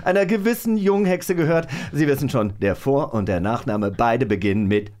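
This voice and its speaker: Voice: mid-range voice, moderate pace, darkish tone, natural voice. A performer voicing a flustered, self-important and cowardly city mayor in a comic radio play, whining with babyish word endings when panicked. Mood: uneasy, probing.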